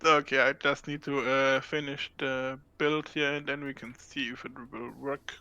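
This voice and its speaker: A man's voice: medium pitch, level tone, moderate pace, pushed and bright, clear, resonant tone, lo-fi sound. A man playing alongside the host, casually giving advice about ships and gear. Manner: helpful, gives game advice. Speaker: co-player. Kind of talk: casual game chat.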